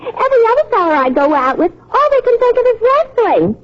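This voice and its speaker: A forward young American sweetheart. Her voice is high and very animated, in a vintage radio comedy style, on a muffled old recording. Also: fast talker. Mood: gushing, affectionate. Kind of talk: 1940s radio comedy acting.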